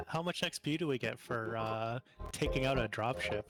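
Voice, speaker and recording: A man's voice: medium pitch, moderate pace. A man chatting casually as a player in a tabletop game. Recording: loud music over the voice. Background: loud background music.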